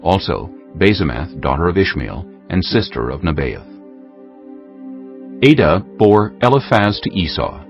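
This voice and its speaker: Deep, expressive male scripture narrator. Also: a little slow, vocal fry. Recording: dull, muffled audio under music. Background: music.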